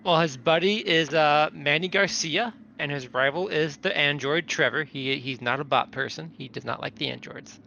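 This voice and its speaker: A man's voice: on the high side, moderate pace, vocal fry, few pauses, pushed and bright. A man playing a tabletop game, chatting casually about a character over a lo-fi mic. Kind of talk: casual chat. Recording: dull lo-fi mic, faint noise.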